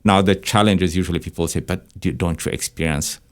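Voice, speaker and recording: A man's voice: sits low, fairly even intonation, brisk. A man, a counselor reflecting aloud in conversation in a discursive way. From Ethiopia. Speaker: church counseling director and therapist, panel guest.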